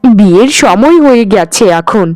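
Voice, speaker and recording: A woman's voice: on the high side, expressive, moderate pace, a little mellow, faint music behind, lightly acted. A woman voicing a careworn widowed housemaid and mother in a cartoon, speaking in a plaintive, earnest way. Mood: worried, resolute. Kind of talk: Bengali cartoon voice acting.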